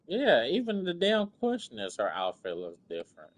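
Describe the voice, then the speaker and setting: A man's voice: on the high side, wildly swooping pitch, moderate pace. A man giving casual reaction commentary on a game in an offhand way, with some swearing. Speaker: gaming streamer.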